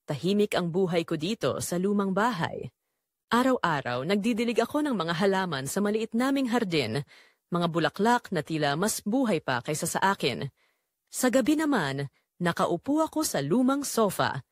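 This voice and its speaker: A woman's voice: mid-range voice, sing-song, moderate pace, no room sound, studio quality. A woman playing a lonely middle-aged widowed mother who tells her secretly yearning story in an audio drama. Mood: wistful. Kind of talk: first-person dramatic audio story narration.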